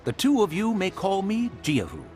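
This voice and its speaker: An actor playing a stern, dignified ancient deer spirit in human guise who speaks formally, in a video game. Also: male voice, high-pitched, huge pitch swings, darkish tone, faint music behind. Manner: formal, measured.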